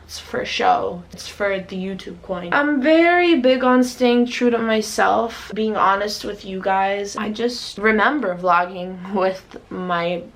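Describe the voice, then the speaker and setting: A woman's voice: medium pitch, takes it slowly, hardly any pauses. A young woman from the United States talking casually and frankly to the camera, swearing often. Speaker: vlogger.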